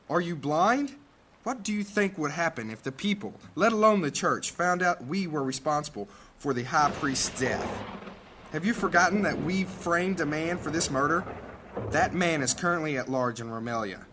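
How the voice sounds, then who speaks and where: A blunt, impatient male mage in an audio drama. The voice is sharp and forceful, with faint noise behind it. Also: a little high, lively intonation, moderate pace. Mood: agitated, urgent. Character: blunt, hot-tempered mage councillor guarding his guild. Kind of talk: fantasy audio drama acting.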